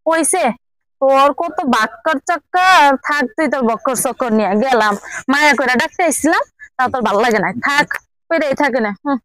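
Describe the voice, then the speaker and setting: A woman's voice: high-pitched, lively intonation, brisk, fairly bright tone, no echo, rough recording. An actress playing a blunt, nagging village woman in a drama, with a Bangladeshi regional accent. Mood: scolding, huffy. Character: bossy, sharp-tongued village woman, scolding and impatient.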